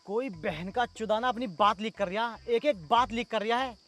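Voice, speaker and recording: An actor playing a wily, flattering village election fixer who hustles for money in a comedy sketch. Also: male voice, high voice, soft background music. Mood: indignant. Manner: flattering, fast-talking hustler. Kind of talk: rustic comedy sketch acting.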